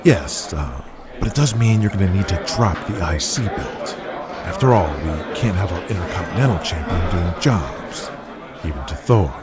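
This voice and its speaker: A man voicing a calculating, controlling male wrestling boss, smooth-talking and deliberate, in an audio drama. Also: deep voice, animated, over loud music, a loud crowd, voice pushed down. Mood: persuasive. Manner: deliberate, persuasive. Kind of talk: audio drama character acting.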